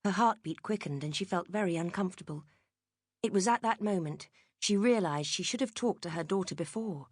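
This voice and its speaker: A woman's voice: on the low side, a little fast, no room sound. A British woman reading a novel as a scripted dramatic audiobook narration, close to the microphone. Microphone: close studio microphone.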